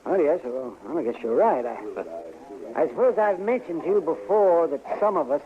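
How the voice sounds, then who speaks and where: A male actor plays a grumbling, flustered frontier doctor in a radio western. His voice sits fairly high and jumps around in pitch as he hems and sputters. The old broadcast sounds tinny and narrow. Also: expressive, normal speed, natural voice. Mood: grudging, pointed. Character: crotchety, flustered middle-aged frontier town doctor. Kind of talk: old-time radio drama acting. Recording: tinny, band-limited vintage radio recording.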